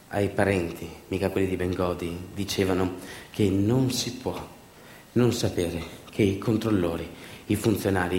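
Low-voiced theatrical storyteller in an echoey room. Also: male voice, darkish tone. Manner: emphatic, dramatic, with deliberate repetitions and pauses. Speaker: stage actor and storyteller. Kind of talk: theatrical narrative monologue.

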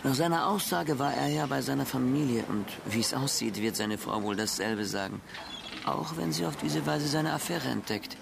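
An actor playing a dogged, brash police sergeant in a radio crime drama, with a blunt, pushy, streetwise manner. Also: male voice, mid-range voice, lively intonation, normal speed, creaky, noisy background. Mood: dogged, businesslike. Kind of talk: naturalistic radio play acting.

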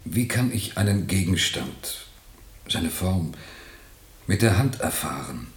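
Deep-voiced, troubled, brooding man. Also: animated. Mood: brooding, anguished. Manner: intense, philosophical, halting. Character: troubled, brooding man estranged from time and perception. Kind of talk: German radio play acting.

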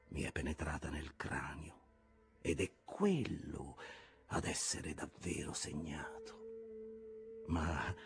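A grim, obsessed old sea captain, put on by a male audiobook reader. The voice sits deep and swings widely in pitch, dropping to breathy whispers and then rising into forceful commands. Soft music plays faintly underneath. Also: exaggerated voice. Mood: intense. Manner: intense, shifting between whispers and loud outbursts.

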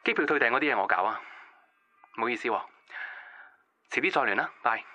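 A man's voice: mid-range voice, animated, fast, pushed and bright. A man with a Hong Kong Cantonese accent playing an evasive young love interest in conversational radio-drama dialogue. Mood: apologetic, awkward. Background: music.

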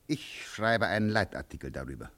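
An actor playing an authoritative, formal newspaper editor-in-chief in a German radio play, speaking in a deliberate way with pauses to find his words. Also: fairly low pitch, animated, fairly bright tone. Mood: curt, composed. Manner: deliberate, hesitant while composing, then declamatory.

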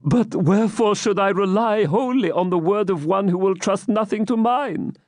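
A man's voice: high voice, normal speed, a little mellow, no echo, clean studio sound, pitched above the performer's own voice, natural voice. A man voicing a fearful old moneylender who pleads timidly for his freedom. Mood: pleading.

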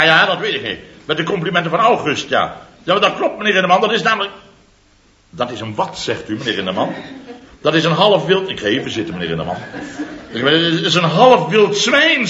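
Radio comedy boss: blustering, teasing, chuckling, higher voice, dull old broadcast, audience laughing behind. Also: male voice, pushed and bright, roomy sound, slight background noise, exaggerated voice. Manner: laughs through his lines, teasing. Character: blustering, mocking male company boss. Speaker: boss of a building firm. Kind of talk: 1960s radio comedy acting.

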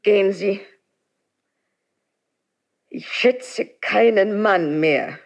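A woman's voice: medium pitch, very slow, gravelly. An actress playing a dignified, anguished, passionate queen in a classical German radio drama, with grave, formal theatrical delivery. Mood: desperate, then bitter.

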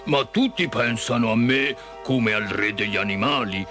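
A man voicing a big, gentle cowardly lion in a storybook, with a slow, sighing, mournful drawl. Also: mid-range voice, soft background music, natural voice. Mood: ashamed, mournful.

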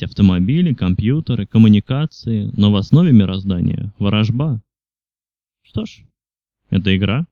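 A man's voice: medium pitch, warm tone, dry recording, lo-fi sound. A man reading literary fiction aloud as a scripted audiobook narration. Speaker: audiobook reader.

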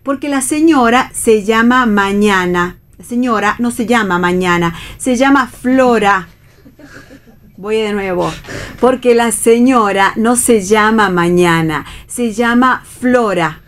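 A woman's voice: a little high, takes it slowly. A woman with an Argentine accent playing a wistful, indecisive young wife in a radio theatre reading. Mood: insistent, tender. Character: Flora, indecisive wife, tender, wistful, quietly defiant. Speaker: actor in a radio play reading.

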